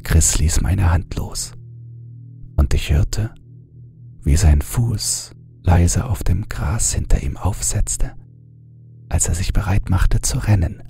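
A man narrating a horror audiobook in a hushed, often whispering manner, with music underneath. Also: deep voice, slow, vocal fry, long pauses.

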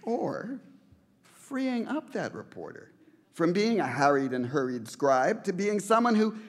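A man's voice: high-pitched, big pitch swings, measured pace. Middle-aged man making an emphatic podium speech. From Canada. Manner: earnest, emphatic, builds with repeated phrases. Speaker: award recipient giving an acceptance speech. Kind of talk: prepared speech read at a podium. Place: large banquet hall, indoors. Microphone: podium microphones.

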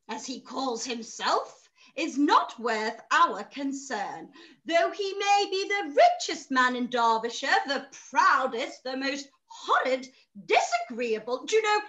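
A middle-aged woman with an English accent playing an excitable, gushing, easily vexed Regency mother in a period drama read-through, effusive and full of exclamations. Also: high-pitched, full character voice. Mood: indignant. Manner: effusive, breathless exclamations, drawn-out words. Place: room at home, on a video call.

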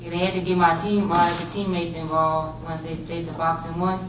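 A woman's voice: fairly low pitch, level tone, moderate pace. A young woman, a college basketball player, giving short, laid-back answers at a post-game press conference. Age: young adult. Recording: echoey room, distant mic, background noise.

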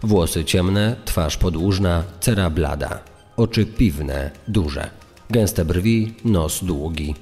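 A man's voice: sits low, takes it slowly, a little mellow. A man reading scripted true-crime narration as a narrator. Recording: long hall reverb. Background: music.

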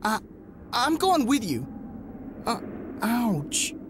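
A woman voicing a dazed, loyal boy sidekick in a video game, halting and groggy. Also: fairly high pitch, very animated, noisy background, exaggerated voice. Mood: reluctant, loyal. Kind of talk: cartoonish video game voice acting.

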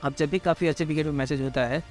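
Fast-talking Indian man explaining cricket. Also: a little high, steady tone, soft background music. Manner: repetitive, explanatory, addresses listeners directly. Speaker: cricket pitch report presenter. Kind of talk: informal explanatory sports analysis.

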